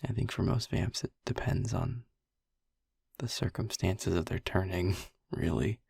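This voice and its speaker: Casting: male actor as brooding, tender vampire boyfriend for intimate audio drama; very breathy, gravelly, hesitant, close to a whisper. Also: low-pitched, dry recording, exaggerated voice. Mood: somber, reflective. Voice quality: breathy, husky, often near a whisper. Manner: halting, soft, hesitant, with long pauses.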